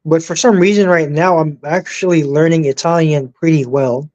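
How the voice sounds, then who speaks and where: Stammering woman with a low voice. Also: steady tone, a little mellow, muffled recording. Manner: halting, stammers and repeats words before sentences. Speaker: guest. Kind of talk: casual live chat.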